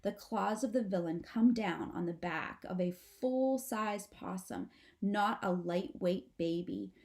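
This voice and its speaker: A woman narrating a children's book aloud in an expressive, measured, dramatic storytelling manner. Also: mid-range voice, creaky. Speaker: storybook reader.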